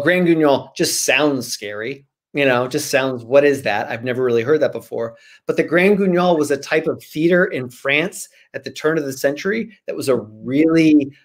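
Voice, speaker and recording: A man in his forties chatting casually as a guest author on a video call, with a joking, slangy, animated manner. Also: medium pitch. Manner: animated, joking, slangy, acts out imagined remarks. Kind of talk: casual chat interview. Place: small room at home, on a video call.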